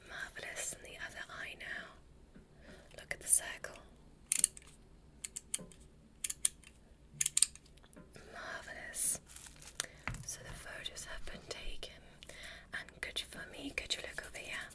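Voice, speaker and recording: A woman playing a kindly, curious alien doctor in a whispered ASMR medical roleplay, giving polite, softly encouraging instructions in a breathy voice. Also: moderate pace, lightly acted. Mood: soothing, clinical.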